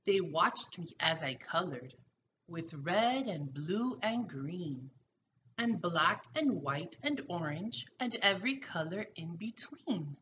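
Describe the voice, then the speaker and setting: Female pre-K teacher, middle-aged, storybook read-aloud; bright, animated, slow-paced delivery. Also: fairly low pitch, highs cut off, slight background noise. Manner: expressive, deliberate storytelling for young children. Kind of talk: children's picture book read-aloud. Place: small room at home, indoors.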